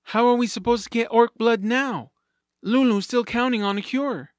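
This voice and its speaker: A man's voice: very high-pitched, moderate pace, dry recording, clean studio sound. A man playing an earnest, loyal, eager young adventurer in a family audio drama. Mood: concerned, pleading.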